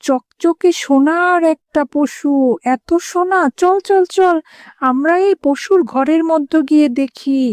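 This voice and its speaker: A woman's voice: high-pitched, measured pace, a little mellow, dry recording. A woman voicing an emphatic, dramatic village aunt in a children's cartoon. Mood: greedy, amazed. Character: scolding, greedy aunt in a village moral tale.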